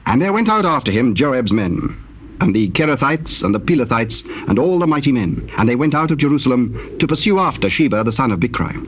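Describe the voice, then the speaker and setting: A man giving a solemn, measured scripted narration of dramatized scripture. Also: medium pitch, very animated. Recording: noisy cassette tape recording.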